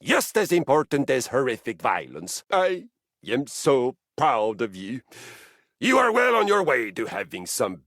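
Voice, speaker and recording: An actor playing a personified treadmill in a video game, an exuberant, violence-loving warrior fitness coach who speaks in a grandiose, formal, earnest way. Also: male voice, mid-range voice, huge pitch swings, measured pace, fairly bright tone, no echo, studio quality, exaggerated voice. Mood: instructive, commanding. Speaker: workout coach. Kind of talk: video game character acting.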